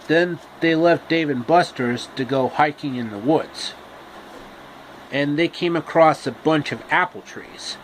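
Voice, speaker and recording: Slow, halting man telling a story. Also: fairly high pitch, slight background noise, rough recording. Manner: halting, pausing mid-sentence. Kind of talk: casual comic story narration.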